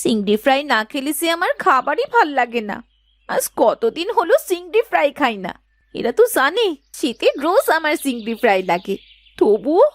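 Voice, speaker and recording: A woman's voice: high voice, sing-song, moderate pace, dry recording. A woman voicing a demanding, greedy young village wife in a cartoon, whiny and insistent. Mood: sulky, longing. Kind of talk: cartoon story voice acting.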